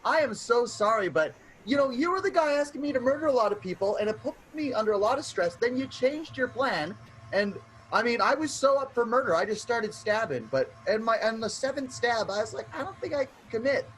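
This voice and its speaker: An actor playing a chatty, apologetic, fickle would-be murderer in an improvised radio comedy. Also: male voice, very high-pitched, animated, a little fast, a bit bright, slight background noise, poor audio. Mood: breezy, apologetic.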